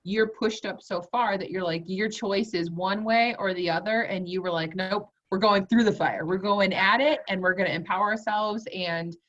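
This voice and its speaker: A woman's voice: medium pitch, normal speed, few pauses, fairly bright tone. A woman hosting a video interview series, enthusiastic and a bit rambling as she chats with her guest. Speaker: host. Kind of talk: interview conversation. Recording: dull lo-fi sound.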